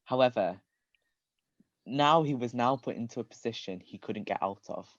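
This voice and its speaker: A man's voice: medium pitch, normal speed, no echo, dull audio. Male reader for a comedy livestream story reading. Dry, close sound, slightly dulled. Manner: often breaks into giggles. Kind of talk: reading comedic fan fiction aloud on a livestream.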